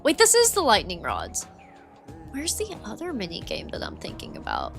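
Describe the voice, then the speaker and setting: A woman's voice: mid-range voice, wildly swooping pitch, moderate pace, creaky, fairly bright tone. Female game streamer, loose chatty delivery, slightly dried-out voice, game music underneath. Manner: chatty, rambling, frequent "like" and "um". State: voice getting dry and worn from long talking. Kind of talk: casual livestream chat while playing.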